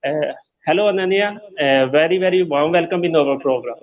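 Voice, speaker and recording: A man hosting an interview, speaking formally and haltingly with many fillers. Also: fairly high pitch, dull audio. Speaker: host.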